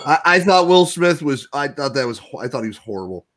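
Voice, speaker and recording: A man's voice: a little high, sing-song, brisk, fairly bright tone. A man in his forties in a casual chat, easygoing and agreeable. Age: 40s. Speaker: podcast co-host.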